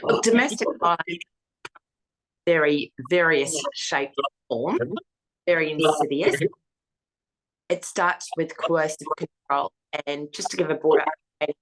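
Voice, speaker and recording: A woman with a husky voice, frankly recounting her own experience as an interview guest. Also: low voice, a bit bright, poor audio. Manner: frank, matter-of-fact storytelling. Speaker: foundation founder, interviewee. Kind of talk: personal testimony in an interview.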